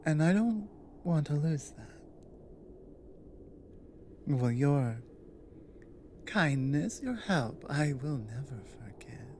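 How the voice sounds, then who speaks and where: A woman acts out a loyal, guarded orc warrior woman in an intimate ASMR audio drama. Her speech is slow and deliberate, with halting, plain, earnest phrasing. A light wash of ambient noise sits behind the voice. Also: low-pitched, expressive, darkish tone. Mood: hesitant, vulnerable.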